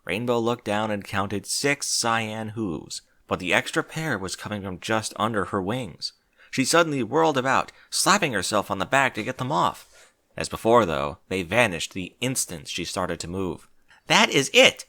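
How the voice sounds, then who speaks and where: Bright, animated male story narrator. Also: on the low side, moderate pace. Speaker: fan fiction reader, narrator. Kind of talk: expressive scripted story narration.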